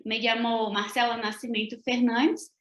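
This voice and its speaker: A woman's voice: fairly high pitch, little pitch movement, normal speed, fairly bright tone. Brazilian woman lecturing in Spanish, roomy. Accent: Brazilian Portuguese. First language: Portuguese. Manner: careful, often adds tag questions to check in. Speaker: guest lecturer. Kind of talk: academic lecture over video call. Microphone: video call. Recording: roomy video call audio.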